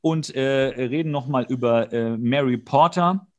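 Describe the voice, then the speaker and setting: A man co-hosting a podcast, casually and comically retelling an episode in an animated way with exasperated, slangy outbursts. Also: mid-range voice, unhurried, lo-fi sound. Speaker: podcast co-host. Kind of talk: casual comedic episode recap.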